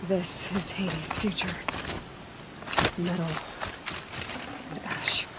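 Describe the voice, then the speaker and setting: An actress playing a capable, caring young huntress in a video game, with a dry, matter-of-fact manner. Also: female voice, fairly low pitch, little pitch movement, extremely slow, very noisy. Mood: somber, concerned. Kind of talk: video game dialogue acting.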